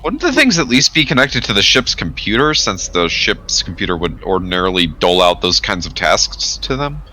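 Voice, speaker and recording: A man's voice: low voice, big pitch swings, normal speed, bright, forceful tone, a little hiss or hum, full character voice, poor audio. A man playing a skeptical, argumentative spacefarer in a tabletop game, speaking bluntly and with sarcasm. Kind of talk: tabletop game role-play.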